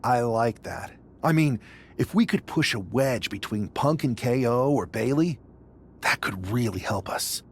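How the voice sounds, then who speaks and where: An actor playing a confident, scheming male wrestler in a video game cutscene, talking in a measured, plotting way. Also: mid-range voice, lively intonation, slightly rough. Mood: sly, determined.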